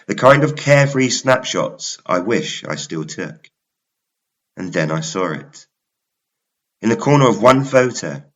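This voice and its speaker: A man narrating a suspense romance story in a measured, dramatic way, in short phrases. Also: deep voice, fairly bright tone, lo-fi sound. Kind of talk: scripted first-person suspense romance narration.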